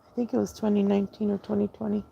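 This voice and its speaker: A woman's voice: mid-range voice, completely flat delivery, rapid-fire, creaky, mellow, highs cut off, slight background noise. A woman telling her own story in a casual, rambling monologue, hesitating often, restarting sentences and spelling out words letter by letter.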